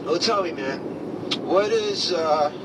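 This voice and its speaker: A man hosting a casual hip-hop interview, talking in a laid-back, slangy way. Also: slow. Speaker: show host interviewing musicians. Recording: distant, very noisy outdoor rumble.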